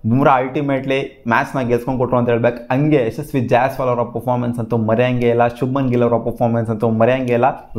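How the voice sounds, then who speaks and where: A young man talks through a cricket match in a casual, lively way. He gestures as he speaks from a seated spot in a small home studio. He slips English words into his talk. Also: medium pitch. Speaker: cricket channel host. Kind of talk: casual post-match analysis. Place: small home studio with gaming chair and lamps.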